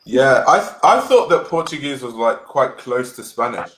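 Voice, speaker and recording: A man's voice: mid-range voice, expressive, moderate pace, a little hiss or hum, low-quality recording. A man chatting casually in a group, often answering with short agreeing replies.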